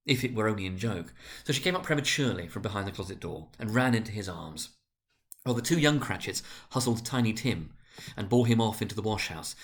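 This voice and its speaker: A man narrating a classic story as a dramatic reading, in an expressive, theatrical manner. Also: lowish voice.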